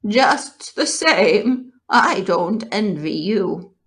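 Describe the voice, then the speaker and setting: Storybook old ewe, voiced by a woman: unhurried, unsentimental gossip, bluntly matter-of-fact. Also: mid-range voice, expressive. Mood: blunt, grim.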